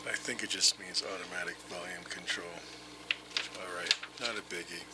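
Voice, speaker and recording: A man's voice: sits low, steady tone, moderate pace. A man thinking aloud in a casual, unscripted hobbyist demonstration, rambling and tentative, speaking through an old tape-recorder microphone. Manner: rambling, tentative, self-deprecating. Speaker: hobbyist vlogger demonstrating vintage audio gear. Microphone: old reel-to-reel recorder microphone. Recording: noisy vintage tape-recorder microphone, hiss.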